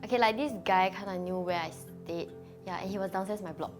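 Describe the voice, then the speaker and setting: A woman's voice: medium pitch, brisk, over music. A woman, a student speaking casually and hesitantly. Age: 20s. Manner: casual and hesitant, many "like" fillers. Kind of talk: interview confession.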